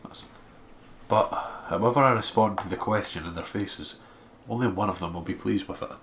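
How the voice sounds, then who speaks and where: A man's voice: on the low side, normal speed, a bit bright. A man co-hosting a let's play, casually chatting and reading story narration aloud. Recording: clear background noise.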